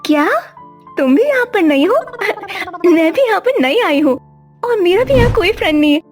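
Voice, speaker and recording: A chatty young wife in a cartoon story, who talks a lot and complains. Her voice is very high. Light music plays behind. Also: lively intonation, moderate pace. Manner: talkative, complaining. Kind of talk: cartoon voice acting.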